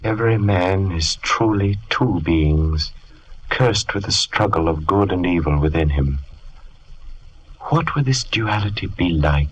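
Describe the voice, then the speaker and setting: A man's voice: deep voice, lively intonation, a little slow, slight background noise, low-quality recording. A man narrating an old radio horror drama in a grave, solemn manner.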